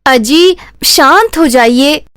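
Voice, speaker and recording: A woman voicing an anxious, gentle village wife and mother, pleading and worried, in a Hindi cartoon tale. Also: high-pitched, animated, drawn-out words, no room sound, studio quality, full character voice.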